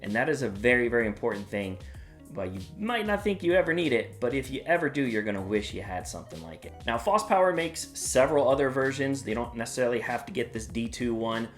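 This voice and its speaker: A man's voice: medium pitch, lively intonation, fast talker, soft background music. Upbeat man hosting a product review. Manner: upbeat, plain-spoken, with some "uh" fillers. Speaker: product reviewer and channel host. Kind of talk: casual product review vlog.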